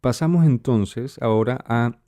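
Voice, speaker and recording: Dark-voiced Ecuadorian man hosting. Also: mid-range voice, a little slow, no room sound, studio quality. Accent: Ecuadorian Spanish. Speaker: interviewer, host. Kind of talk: casual conversational interview.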